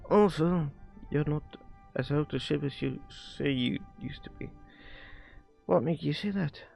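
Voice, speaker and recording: A man streaming a visual novel, reading its dialogue aloud haltingly and often stumbling and rereading lines. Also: mid-range voice, gravelly, mellow. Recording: music behind the voice. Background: music.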